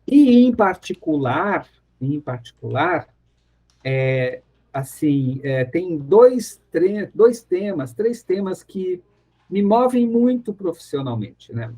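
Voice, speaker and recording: A man's voice: high voice, very animated, takes it slowly, warm tone. A Brazilian man speaking as a professor being interviewed on radio, reflective and conversational, often searching for words and repeating phrases. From interior of São Paulo state, Brazil.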